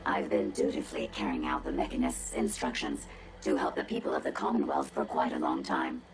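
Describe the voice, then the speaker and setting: A woman's voice: very deep voice, steady tone, brisk, music in the background, poor audio. An actress playing a haughty, condescending female robot with a robotic filter, sardonic, precise and pompous in a video game. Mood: smug, sardonic. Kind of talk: video game cutscene acting.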